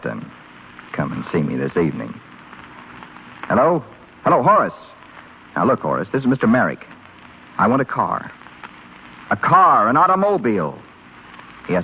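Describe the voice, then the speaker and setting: Brash young playboy, thin radio sound. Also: male voice, on the low side. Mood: impatient, bossy. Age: young adult. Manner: wisecracking, sardonic. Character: brash, flippant, restless young rich male hospital patient. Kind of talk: 1930s radio drama acting. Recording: scratchy, tinny 1930s radio broadcast recording.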